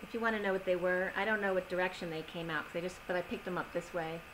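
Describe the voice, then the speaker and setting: Woman tarot reader, calm slow delivery, voice a bit worn, noisy background. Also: lowish voice. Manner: slow, soothing reading of oracle cards with gentle pauses. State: losing her voice. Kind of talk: solo tarot reading video.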